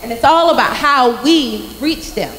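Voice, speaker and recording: A young woman giving a youth message at church, telling a story with lively acted-out voices into a handheld mic. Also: sits high, measured pace, a little hiss or hum. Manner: animated storytelling, acting out quoted voices. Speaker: youth speaker. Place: large church sanctuary with congregation. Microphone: handheld microphone. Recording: echoey sanctuary from a far camera.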